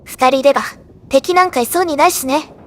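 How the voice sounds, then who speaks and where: Woman acting a high-pitched, teasing female death god. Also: fairly quick, close to an everyday voice. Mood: confident, friendly. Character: playful, possessive female death god, battle-hungry friend. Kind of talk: anime voice drama dialogue.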